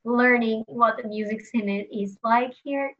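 A woman's voice: fairly high pitch, steady tone, unhurried, background noise. A young woman from Brazil, a musician being interviewed, talking casually with lots of fillers and little laughs. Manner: chatty, frequent "like" fillers, laughs while talking. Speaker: musician guest. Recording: slightly dull lo-fi sound.

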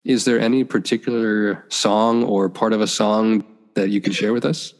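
Low-voiced man interviewer, friendly prompts, echo trailing in the room. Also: steady tone, normal speed. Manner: encouraging, paraphrases and completes the guest's answers. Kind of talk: conversational interview. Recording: long reverb.

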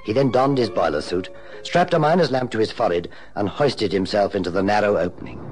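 An actor playing a courteous British private investigator in a radio drama, with an urbane, measured and polite manner. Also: male voice, lowish voice, lively intonation, music in the background, natural voice. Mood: storytelling. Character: suave, courteous male private investigator and agency boss. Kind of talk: radio drama dialogue and narration.

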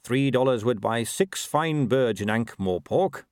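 A man voicing a polite, formal horseman with calm menace, in a storybook reading. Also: medium pitch, unhurried, dry recording, studio quality. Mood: politely probing. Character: courteous, calmly menacing young horseman, earnest and deliberate.

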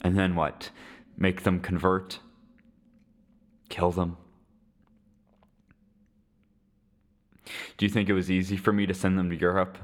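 A man playing a lonely, resigned Syrian widower in his seventies in a dramatic podcast monologue, halting and reflective. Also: low-pitched, level tone, close to an everyday voice. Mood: pained, defensive. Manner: slow, halting, reflective delivery with weighty pauses.

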